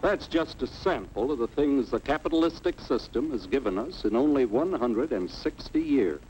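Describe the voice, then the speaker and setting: Level-headed American cartoon everyman, played by a man; persuasive, lively storytelling, vintage film soundtrack with music. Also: mid-range voice, moderate pace, close to an everyday voice, low-quality recording. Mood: folksy, persuasive. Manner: folksy, persuasive, emphatic. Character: plain-spoken, level-headed everyman citizen, folksy storyteller. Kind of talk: 1950s educational cartoon narration.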